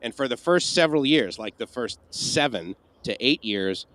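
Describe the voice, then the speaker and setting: A man's voice: medium pitch, expressive, normal speed, a bit bright, no echo. Chatty man with a dry sound. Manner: chatty, rambling with restarts and asides. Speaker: livestream co-host. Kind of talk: casual Q&A chat.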